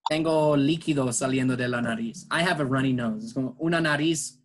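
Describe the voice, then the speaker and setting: A patient male teacher speaks at an ordinary pitch, guiding beginners through an online class. He explains slowly and switches languages as he goes. He sounds like he is on a computer mic during a video call. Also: a little hiss or hum. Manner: patient, switches between English and Spanish. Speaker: English teacher.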